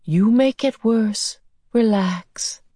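A woman as a slow, gentle alien beast. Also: a little high, no echo, studio quality, pitched above the performer's own voice. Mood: soothing, firm. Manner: slow, deliberate, groping for words. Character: gentle furry tentacled alien beast, calm healer. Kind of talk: audiobook character voice.

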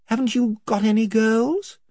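A man's voice: high-pitched, unhurried, a little mellow, dry recording, pitched above the performer's own voice. A man reading an audiobook, voicing a brave, direct young girl. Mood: earnest. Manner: direct, questioning.

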